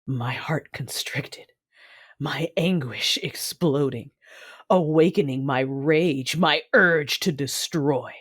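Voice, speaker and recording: A woman's voice: low voice, takes it slowly, breathy edge, dry recording, studio quality, full character voice. An actress playing a hardened, fiercely loving warrior woman who narrates an audio drama in the first person with solemn intensity. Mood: anguish and rage.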